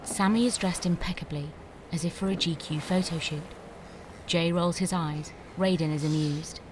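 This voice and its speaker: Casting: female screenplay narrator, slightly low voice, noisy backdrop. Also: fairly even intonation, moderate pace. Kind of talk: scripted narration of screenplay stage directions.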